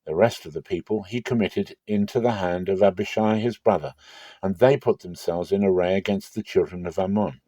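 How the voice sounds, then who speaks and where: A man giving a dramatic, expressive reading of scripture in a measured, emphatic way. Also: deep voice, no room sound. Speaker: Bible reader.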